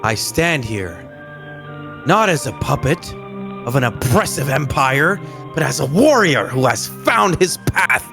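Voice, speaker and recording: A man playing a vengeful, anguished young kung-fu warrior in a radio drama, declaiming with dramatic pauses. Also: medium pitch, sing-song, gravelly, music in the background. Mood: defiant, resolute.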